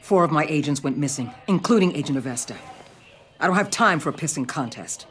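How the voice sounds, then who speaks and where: An actress playing a ruthless middle-aged federal agency boss in a video game, blunt and commanding. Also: female voice, sits low, lively intonation, fairly quick. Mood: curt, urgent.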